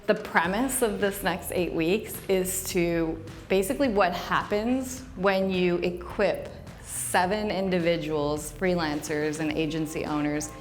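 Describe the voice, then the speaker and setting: A woman with a somewhat low voice talks frankly to an interviewer, her thoughts rambling and often turned against herself. Faint background music plays under her. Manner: candid, rambling, self-deprecating. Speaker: agency coach and show host. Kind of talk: candid interview to camera.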